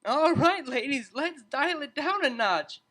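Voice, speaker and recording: A narrator voicing a cheerful, meddling male pony psychology tutor with an animated lecturing manner. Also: high voice, measured pace, voice pushed up, exaggerated voice. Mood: amused, placating.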